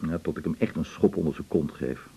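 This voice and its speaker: A man's voice: extremely low pitch, fairly quick, vocal fry, dark tone, slightly rough, full character voice. An actor playing a wry, easygoing male friend in a naturalistic radio drama, chatting in a dry manner. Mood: wry, relaxed. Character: wry, easygoing adult friend with stormy love life. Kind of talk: naturalistic 1980s Dutch radio drama acting. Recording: slightly dull 1980s radio recording.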